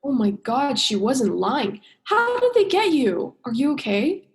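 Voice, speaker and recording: An earnest, exasperated young woman, played by an actress in a radio play. Her voice is a bit high, and her delivery is direct and emotional. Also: very animated, unhurried, exaggerated voice. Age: young adult. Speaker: drama student actor.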